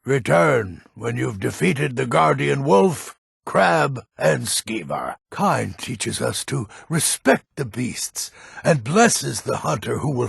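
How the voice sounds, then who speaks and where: A man playing a gruff, grumpy but honourable old Nord hunter in a fantasy video game, with a gravelly, husky voice and a weighty, deliberate manner. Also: medium pitch, dry recording, clean studio sound, heavily acted. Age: older.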